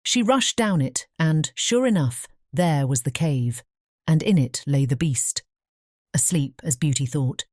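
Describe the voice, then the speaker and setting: A woman narrating a scripted fairy tale audiobook as a measured storyteller. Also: deep voice, darkish tone, no echo, clean studio sound.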